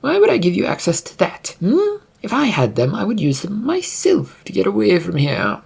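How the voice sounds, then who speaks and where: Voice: medium pitch, huge pitch swings, normal speed, vocal fry, darkish tone, breathy edge, voice pushed up, heavily acted. A narrator voicing a haughty, paranoid fantasy prince in an imperious, indignant manner for a story. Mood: suspicious, demanding.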